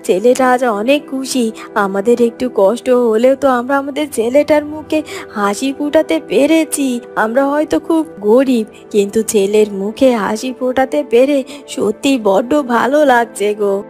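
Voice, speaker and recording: A woman's voice: on the high side, a little slow, darkish tone, natural voice. A woman voicing a poor farmer's caring, coaxing wife and mother in a fairy-tale cartoon. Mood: tender, content. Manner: coaxing, tender. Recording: music under the voice.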